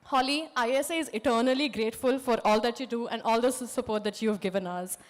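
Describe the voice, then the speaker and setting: A young woman hosting a live student event on stage, her voice hoarse from screaming, introducing the next guest with excited exclamations. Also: a little high, fast talker. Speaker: emcee and student association president.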